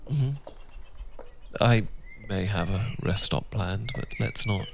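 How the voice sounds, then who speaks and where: A British man playing a tender, well-born Regency husband in a romantic ASMR roleplay, speaking softly and formally with affection, often dropping to a whisper. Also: fairly low pitch, slow, creaky. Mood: affectionate. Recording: noisy, birdsong and outdoor sounds behind. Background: birdsong.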